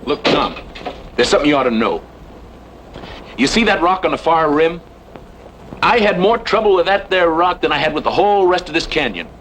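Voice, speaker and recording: A cocky, lively cowboy rustler. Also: male voice, fairly high pitch, moderate pace, pauses often. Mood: wry, teasing. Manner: folksy, sardonic, evasive. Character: cocky, joking outlaw cattle rustler, cowboy drawl. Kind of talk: old-time radio western acting. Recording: noisy old radio drama recording.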